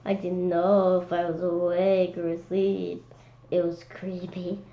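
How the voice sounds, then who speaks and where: A woman reading a children's story aloud in an expressive, animated way, occasionally stumbling over words. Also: lowish voice, a little slow. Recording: dull lo-fi sound with clear background noise.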